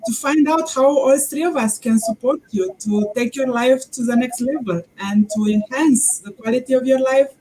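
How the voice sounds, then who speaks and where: A woman's voice: on the high side, warm tone, rough recording. Earnest woman guest from Romania. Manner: earnest, formal. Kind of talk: talk show guest.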